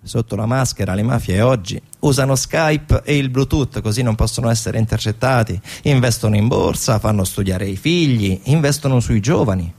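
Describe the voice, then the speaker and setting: Creaky-voiced man telling a dramatic stage story. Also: mid-range voice, normal speed, few pauses, darkish tone. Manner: dramatic, with emphatic repetition. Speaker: stage actor and narrator. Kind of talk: theatrical monologue storytelling about organised crime. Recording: guitar music behind the voice. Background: guitar music.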